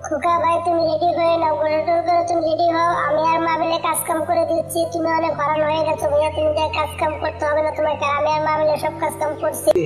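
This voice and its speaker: Childlike cartoon bird, acted out, very high voice, fast, earnest, faint music behind. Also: completely flat delivery, natural voice. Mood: eager. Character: young talking bird of a cartoon family. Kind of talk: cartoon fable dialogue.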